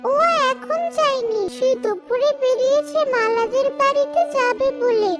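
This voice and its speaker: Woman as an anxious, high-voiced village mother. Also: unhurried. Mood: worried. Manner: fretful, questioning. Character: anxious village mother, stern but caring. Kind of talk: children's cartoon story acting. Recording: loud music behind the voice.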